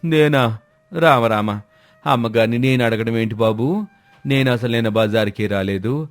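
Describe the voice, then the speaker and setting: A man's voice: mid-range voice, normal speed, lightly acted. A man voicing a humble, respectful village moneylender who protests honestly in a moral story. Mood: earnest protest. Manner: deferential, protesting.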